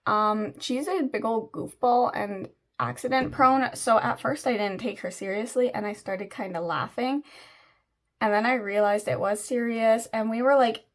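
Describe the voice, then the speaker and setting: Chatty young woman, slightly raspy. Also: a little high, moderate pace. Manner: chatty, rambling with many fillers. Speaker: beauty vlogger. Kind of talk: casual chat while doing makeup.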